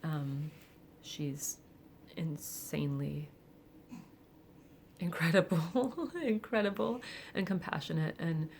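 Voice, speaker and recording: Woman in her forties, low voice, gravelly fry, candid confessional talk, faint background hiss. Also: slow pace. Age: 40s. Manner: candid, reflective, with soft laughs and hesitant fillers. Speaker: vlogger. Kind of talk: personal confessional monologue.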